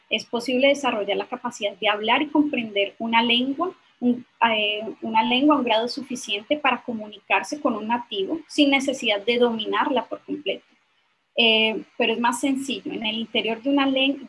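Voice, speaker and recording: A woman's voice: on the high side, a bit bright, a little hiss or hum, rough recording. A young adult woman presenting an explanatory academic lecture over a video call, often adding short checking tag questions. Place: indoor room on a video call. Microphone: video call microphone.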